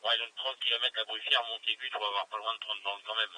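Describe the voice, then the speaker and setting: A man's voice: on the low side, fairly even intonation, fast, pushed and bright. Hesitant man over noisy two-way radio. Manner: hesitant, rambling, thinking aloud. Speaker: amateur radio operator. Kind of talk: casual radio contact.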